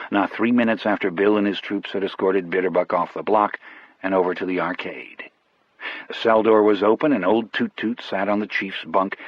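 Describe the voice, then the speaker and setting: A man narrating an audiobook in the first person, telling the story in a measured, wry way. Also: deep voice, no echo. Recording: dry but muffled, dull audio.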